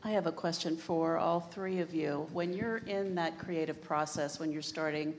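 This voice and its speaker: A woman with a very low voice puts a question to the panel from the audience. She asks politely and carefully, with faint room noise behind her. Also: steady tone, normal speed. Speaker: audience member asking a question.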